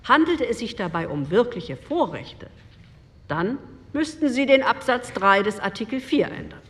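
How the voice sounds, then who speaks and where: A woman's voice: on the high side, very animated, normal speed. An actress playing a firm woman delegate who argues her point with pointed rhetoric in a radio drama. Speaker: politician speaking in a committee debate. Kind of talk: radio drama reenactment of a parliamentary speech. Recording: long hall reverb.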